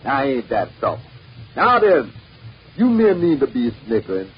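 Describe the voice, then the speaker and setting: A man's voice: mid-range voice, very animated, normal speed, breathy edge, dull audio. A man performing a comic mock sermon as an exasperated, foul-mouthed, folksy preacher scolding his stingy flock. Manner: folksy, bombastic, cursing pulpit delivery. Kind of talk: mock sermon comedy monologue. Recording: noisy band-limited old 78rpm record.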